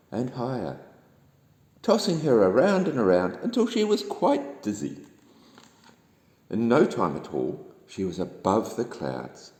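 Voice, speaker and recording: A man with a New Zealand accent reads a children's bedtime story aloud in an expressive, dramatic storytelling manner. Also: on the low side. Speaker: storybook reader. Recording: echoey room with long reverb.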